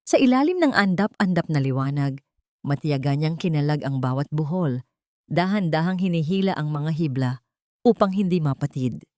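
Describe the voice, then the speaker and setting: A woman reads a dramatic audio story in a slightly low voice. Her delivery is measured and solemn, like a storyteller working from a script. The recording is dry and close, with little room sound. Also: darkish tone, dry recording. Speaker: story narrator.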